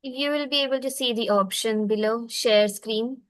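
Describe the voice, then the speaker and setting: A woman's voice: on the high side, normal speed, dry recording. A woman hosting an online cultural exchange on a video call, moderating informally.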